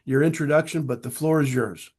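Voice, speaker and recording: A man hosting an online webinar, speaking in a measured, formal, deliberate way over a video call mic, his voice a little strained as he loses it. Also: medium pitch, a little mellow, husky. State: losing his voice. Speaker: webinar host. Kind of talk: scripted webinar introduction.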